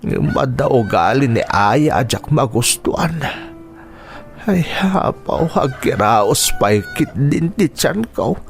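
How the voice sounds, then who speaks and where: A man's voice: medium pitch, expressive, slow pace, creaky, soft background music. A man playing a weak, ailing uncle in an Ilocano radio drama, plaintive and self-pitying. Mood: lonely, self-pitying.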